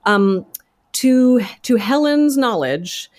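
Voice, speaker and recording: A woman's voice: fairly high pitch, lively intonation, takes it slowly, darkish tone. A woman speaking as an interview guest, articulate and careful with facts as she recounts research in a conversational way. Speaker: podcast guest, actor and author.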